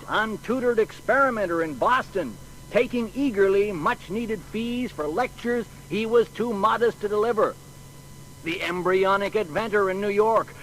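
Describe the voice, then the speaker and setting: An actor playing a proud banquet orator in a dramatised audio biography, speaking in a declamatory, stately manner. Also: male voice, sits high, a bit bright, a little hiss or hum, poor audio. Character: proud American banquet orator giving a grand toast.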